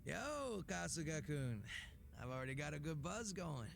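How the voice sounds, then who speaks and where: Laid-back gangster boss, gravelly creak. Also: male voice, on the high side, very animated, normal speed, over music, heavily acted. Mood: relaxed, tipsy. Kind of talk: video game cutscene dialogue.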